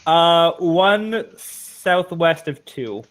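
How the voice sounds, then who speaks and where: A man's voice: a little high, lively intonation, drawn-out words, a bit bright. A man chatting casually as a player in an online tabletop game.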